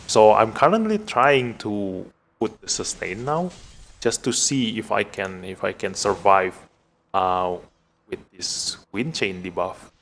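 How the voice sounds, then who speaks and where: A man from Indonesia with an Indonesian accent gives casual live gameplay commentary as a streamer, thinking aloud in a halting way. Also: on the low side, expressive, vocal fry, a bit bright. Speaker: game streamer.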